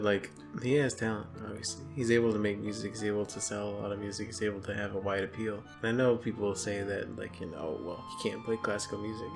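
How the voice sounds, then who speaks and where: A man's voice: lowish voice, creaky. A young man co-hosting a casual comedy podcast, talking in a rambling, slangy, jokey way. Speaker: podcast co-host. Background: music.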